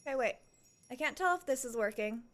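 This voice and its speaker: A woman's voice: high-pitched, animated, brisk, a bit bright. A woman livestreaming a game, chatting casually and playfully with her viewers. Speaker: live streamer. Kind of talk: casual livestream chat over gameplay.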